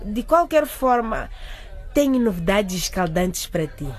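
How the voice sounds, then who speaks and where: A woman's voice: mid-range voice, sing-song, a little slow, faint music behind. An actress playing a chatty teenage schoolgirl who spreads gossip, speaking in an eager manner. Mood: gossipy. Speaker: student. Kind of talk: radio drama acting.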